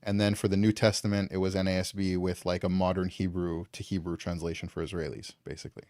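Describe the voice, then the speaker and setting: A man's voice: low-pitched, level tone, fast, darkish tone, no room sound. A man from Canada, a guest in casual conversation, talking loosely with lots of ums and likes.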